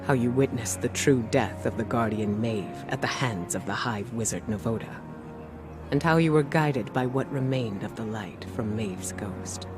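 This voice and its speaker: An actor playing a solemn, encouraging mentor in a video game, speaking in a measured, formal manner. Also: male voice, mid-range voice, natural voice. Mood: solemn, reassuring. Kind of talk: scripted game dialogue. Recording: music behind the voice.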